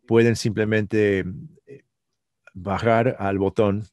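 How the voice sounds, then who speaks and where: A man interpreting a conference talk, halting and breaking off mid-phrase. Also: on the low side, level tone, a little mellow.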